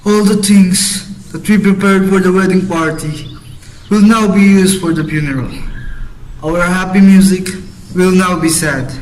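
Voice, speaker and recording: A man's voice: high voice, steady tone, a little slow, darkish tone, rough recording. A man playing a grief-stricken parent lamenting a dead child in an amateur radio drama. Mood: mournful.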